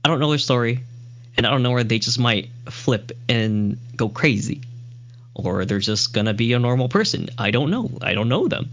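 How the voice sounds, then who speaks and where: A man's voice: mid-range voice, expressive, moderate pace, a bit bright, lo-fi sound. A man hosting a casual podcast, rambling through his thoughts with restarts and filler likes. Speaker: show host reviewing a series.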